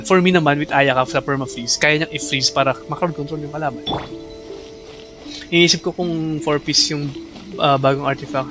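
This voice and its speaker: A man with a Filipino accent, a native Tagalog speaker, giving casual, chatty livestream commentary on a game. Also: on the high side. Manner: chatty, switches between Tagalog and English. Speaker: game streamer. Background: game music.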